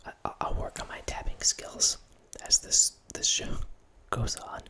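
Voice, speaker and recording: A man in his thirties whispers right into a close studio mic from a small room at home. His delivery is loose and rambling, full of restarts and small self-corrections. He keeps it soft and breathy the whole way through. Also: measured pace. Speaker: host. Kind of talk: whispered ASMR horror film ramble. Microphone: studio mic close up, headphones.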